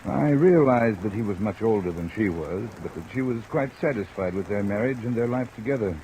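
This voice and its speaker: A man's voice: fairly low pitch, animated, brisk, dark tone, close to an everyday voice. An actor playing a formal, defensive American doctor guarding his reputation in a 1950s radio drama. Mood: guarded. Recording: noisy old radio recording under rain.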